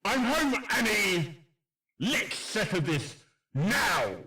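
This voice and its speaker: A man's voice: high-pitched, sing-song, very slow, bright, forceful tone, husky, exaggerated voice. A man playing a bitter, vengeful pony detective hero, confrontational and dramatic, in a fan audio drama. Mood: shouted challenge.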